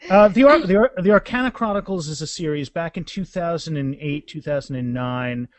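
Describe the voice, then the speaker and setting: A man's voice: on the high side, a little fast, no echo. A man of about fifty chatting casually and enthusiastically as a guest author in a podcast interview, rambling as he goes.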